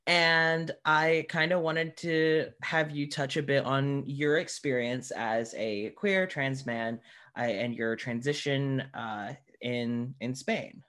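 A man hosting a podcast interview in a casual, informal way, with halting phrasing and many asides. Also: medium pitch, a bit bright.

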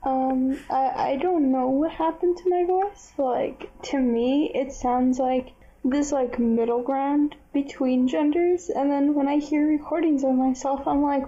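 A young woman with a blended New York and Boston accent, talking slowly in a high but mellow voice. Her speech is drawn out and earnest. The sound is a little dull and lo-fi. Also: steady tone. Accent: New York and Boston mix. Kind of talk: casual chat.

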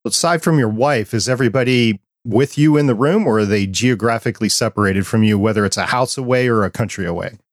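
A man with a quiet voice hosting a podcast, asking curious, friendly questions and chatting about microphones into a dynamic mic. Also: medium pitch, quick pace, few pauses, no echo, studio quality. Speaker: podcast host. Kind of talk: interview questions and chat.

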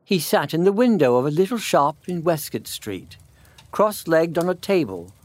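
A man narrating a classic children's story in measured phrases with dramatic pauses. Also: fairly high pitch, a little mellow, no echo.

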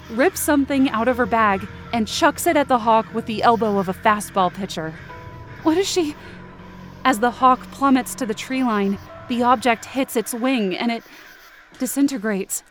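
A high-pitched female voice tells a story in the first person as an anxious young woman. The delivery is scripted, immersive and tense, in the manner of a suspense audio drama. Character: anxious young woman narrating her frightening night hike.

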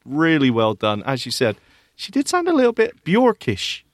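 A man co-hosting a podcast, chatting casually and reviewing games in an animated, bantering way. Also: on the high side, normal speed, no room sound. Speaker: podcast co-host.

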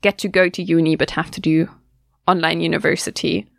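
A woman in her twenties from Germany, hosting a casual student radio chat, talking fast and loose with frequent laughs and restarts. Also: deep voice, level tone, gravelly, no room sound, clean studio sound. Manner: chatty, laughs often, restarts sentences.

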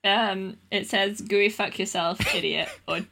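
A woman's voice: medium pitch, fairly even intonation, unhurried, vocal fry, bright, forceful tone, a little hiss or hum. A woman hosting a podcast in casual banter, with a jokey, self-mocking manner. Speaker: podcast host.

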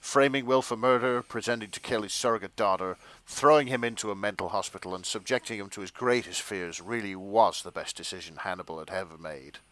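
A man narrating comic fan fiction on a podcast in a theatrical, deliberate way. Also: on the low side, a bit bright, no echo. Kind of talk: dramatic comic reading of fan fiction with casual banter.

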